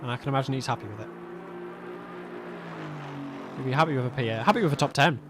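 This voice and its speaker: A young man commentating a sim race alone, chatty and self-mocking, laughing often, his voice going a little hoarse. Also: medium pitch, very fast, noisy background. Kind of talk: solo sim racing commentary. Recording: game car sounds behind.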